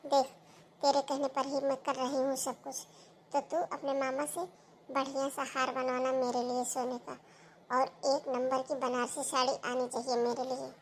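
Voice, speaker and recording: A woman's voice: high voice, completely flat delivery, normal speed, lightly acted. A woman voicing a greedy, demanding middle-aged mother-in-law in a cartoon. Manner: demanding, grasping. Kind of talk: Hindi cartoon voice acting.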